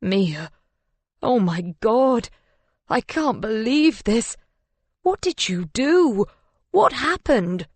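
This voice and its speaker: An anguished husband in an audiobook drama, played by a woman, accusing and raw with grief. The delivery hisses, moans and cries out in slow, heavy phrases, recorded close and dry. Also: on the low side, husky, dry recording, heavily acted. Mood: angry, disbelieving. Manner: hissing, moaning, crying out. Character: anguished, angry husband, adult man, grief-stricken and accusing.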